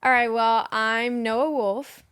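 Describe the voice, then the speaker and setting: A young woman from the Netherlands chatting as a guest in an interview, relaxed and talkative with frequent ums. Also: a little high, takes it slowly, clean tone, dry recording, clean studio sound. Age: young adult. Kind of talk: casual interview chat.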